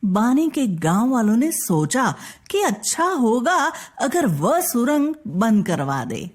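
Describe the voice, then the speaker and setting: Slow, expressive woman storyteller. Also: a little high, slightly rough. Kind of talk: scripted children's story narration.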